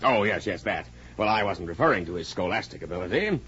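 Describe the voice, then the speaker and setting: A man acting a hearty, self-important middle-aged wealthy American father in a 1940s radio drama, assured and brisk. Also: low voice, lively intonation, a bit bright, breathy edge, exaggerated voice. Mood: hearty, eager. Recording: muffled, noisy vintage 1940s radio broadcast.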